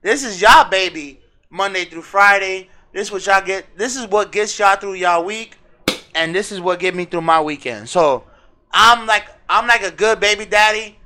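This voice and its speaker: An American man hosting a podcast in casual, slangy, excitable banter with his co-host, swearing freely. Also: high voice, moderate pace.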